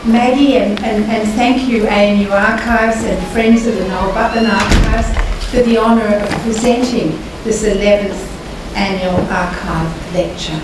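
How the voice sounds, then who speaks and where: An older Australian woman with a croaky voice gives a formal public lecture in a measured, deliberate way, pausing often to hesitate. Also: mid-range voice, steady tone. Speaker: guest lecturer. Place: large lecture hall. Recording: echoey hall, mic far off, noisy.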